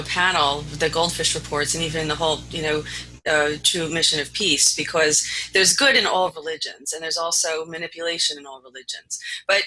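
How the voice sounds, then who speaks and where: A woman with a very bright, sharp-edged voice moderates a panel. She speaks conversationally, in halting phrases, handing points along to her guests. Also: lowish voice, poor audio.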